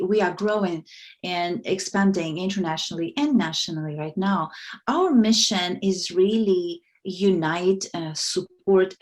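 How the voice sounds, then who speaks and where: A woman answers interview questions in a fairly low voice. She is earnest and enthusiastic, and her answers carry a lot of fillers. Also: darkish tone. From Kazakhstan. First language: Russian. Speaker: guest, organization founder.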